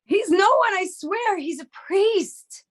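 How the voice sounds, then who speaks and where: High, pleading woman acting a frightened prostitute. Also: lively intonation, takes it slowly, heavily acted. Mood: desperate, pleading. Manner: distressed, pleading. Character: frightened, pleading middle-aged female prostitute.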